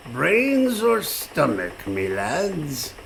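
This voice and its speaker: An actor playing a gruff, sly pirate ship's cook in a comic radio play, with a gravelly voice and salty dialect. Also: male voice, on the high side, wildly swooping pitch, very slow, fairly bright tone, a little hiss or hum, exaggerated voice. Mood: gruff bossy. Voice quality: gravelly, creaky.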